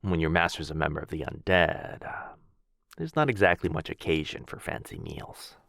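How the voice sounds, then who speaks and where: A man voicing a gentle, courtly male vampire lord in an ASMR boyfriend roleplay. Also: rumbling low, animated, moderate pace, creaky, dry recording, heavily acted. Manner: soft-spoken, courtly and reassuring. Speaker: voice actor.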